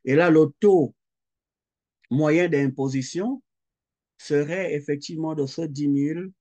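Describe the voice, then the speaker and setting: A man's voice: fairly high pitch, warm tone, highs cut off. A middle-aged man, giving a recorded lecture and working through the calculations step by step, repeating each figure aloud. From Quebec. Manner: slow, methodical, repeats figures aloud as he writes.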